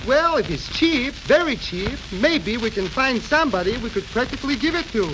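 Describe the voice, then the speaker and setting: A man's voice: extremely high pitch, expressive, moderate pace. A man playing a car dealer's fault-finding young son, clipped and dismissive, in a 1930s radio comedy. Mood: dismissive haggling. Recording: crackly, hissy 1930s radio recording.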